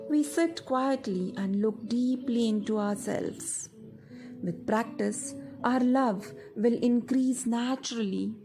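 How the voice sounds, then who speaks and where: A woman with an Indian accent guides a meditation in a fairly high voice. She reads very slowly and deliberately, leaving space between phrases. Soft music plays behind her. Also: vocal fry, rough recording. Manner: measured, deliberate phrasing with pauses. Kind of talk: guided meditation narration, scripted.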